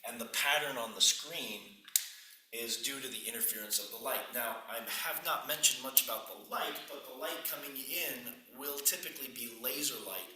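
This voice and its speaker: Croaky man lecturing in an echoey room. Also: mid-range voice, bright, forceful tone. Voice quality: husky. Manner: unhurried, pausing with fillers. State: croaky, clearing his throat often. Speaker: physics lecturer. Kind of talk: classroom lecture. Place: classroom at a whiteboard. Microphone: room camera at a distance. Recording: echoey classroom from far off.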